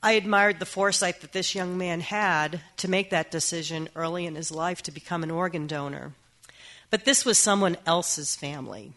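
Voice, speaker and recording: A woman's voice: on the low side, normal speed, fairly bright tone. A middle-aged woman with a scratchy voice from a cold gives an earnest personal talk, with frequent ums. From Massachusetts. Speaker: hospice worker giving a talk.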